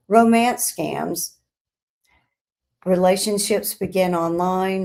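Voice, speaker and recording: A woman hosting a scripted explainer, speaking in deliberate, emphatic phrases with pauses. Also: fairly low pitch, a little mellow. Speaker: host of a crime statistics show.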